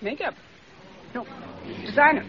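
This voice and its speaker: A woman's voice: fairly high pitch, a little slow, a loud crowd. A woman in her twenties answering a host casually as a contestant on a radio quiz. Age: 20s. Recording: noisy, muffled old radio broadcast.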